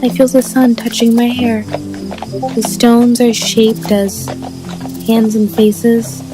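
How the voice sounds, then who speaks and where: A woman's voice: fairly high pitch, flat delivery, slow pace, over music, poor audio. A woman playing a dreamy, innocent survivor in an audio drama.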